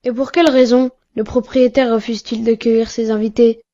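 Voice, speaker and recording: A woman's voice: fairly high pitch, level tone, fairly quick, warm tone, close to an everyday voice. A woman voicing a sincere, pious young boy in a cartoon, asking questions, on dull lo-fi audio. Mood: earnest.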